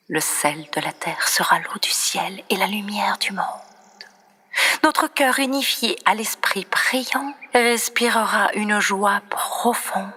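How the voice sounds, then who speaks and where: A woman reciting poetry in a breathy, often near-whispered voice, with solemn, dramatic and deliberate phrasing. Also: mid-range voice, sharp tone. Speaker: poet reciting own poems. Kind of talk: slow expressive poetry recitation.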